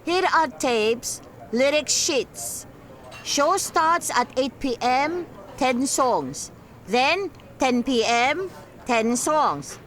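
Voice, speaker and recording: An actress playing a businesslike Hong Kong bar owner in a radio drama, speaking in clipped, telegraphic phrases. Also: female voice, sits high, sing-song, extremely slow, a bit bright, exaggerated voice.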